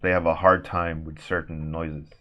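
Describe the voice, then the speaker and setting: A man's voice: extremely low pitch, flat delivery, moderate pace, highs cut off, a little hiss or hum. A man from Sweden with a Swedish accent, chatting casually on a stream while he draws, rambling with restarts and frequent laughs as he answers chat. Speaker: artist streaming a warmup session.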